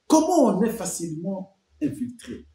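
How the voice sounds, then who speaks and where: A man's voice: high voice, sing-song, normal speed, warm tone, echoey room. A man giving emphatic political commentary, full of rhetorical questions and repeated phrases.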